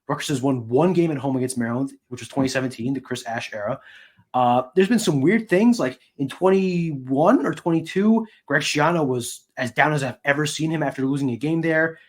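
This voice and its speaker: A man's voice: fairly high pitch, a little fast. A man co-hosting a sports podcast, giving casual analysis.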